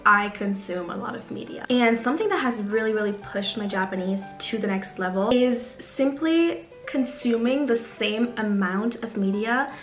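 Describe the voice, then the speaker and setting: A woman's voice: on the high side, expressive, normal speed, few pauses. A young woman whose first language is German, chatting casually to camera in a vlog, full of fillers. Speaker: YouTuber. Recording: roomy sound with faint music.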